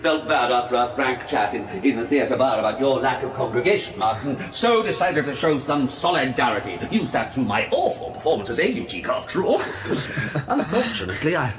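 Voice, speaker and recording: An actor playing a jovial, curious theatrical friend in a radio drama, exuberant and teasing. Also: male voice, medium pitch, sing-song, normal speed, over music, lightly acted. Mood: breezy, amused.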